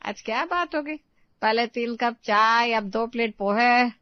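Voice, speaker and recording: A woman's voice: fairly high pitch, a little slow, clean tone, no echo, lo-fi sound, lightly acted. A woman voicing a puzzled elderly parent-in-law who questions the family in a dramatised story. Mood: bemused. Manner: questioning, bemused.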